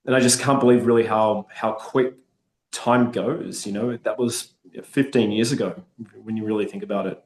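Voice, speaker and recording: A man's voice: on the low side, fairly even intonation, moderate pace, darkish tone, echoey room, poor audio. A man from Australia with an Australian accent, chatting upbeat and optimistic as a guest in an interview. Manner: upbeat, chatty, frequent restarts. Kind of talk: casual interview.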